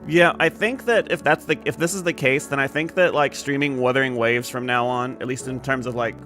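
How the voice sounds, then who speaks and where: A man's voice: mid-range voice, steady tone, brisk, fairly bright tone, faint music behind. A man from the United States live-streaming video games, chatting casually in a rambling, informal way. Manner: rambling, informal, mild swearing.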